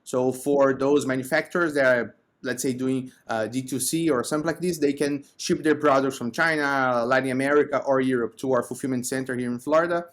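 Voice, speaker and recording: Brazilian guy with accent on a podcast, medium pitch, chatting through what his company does. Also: steady tone, a little fast, few pauses. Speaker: podcast guest, company co-founder. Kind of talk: conversational explanation in interview.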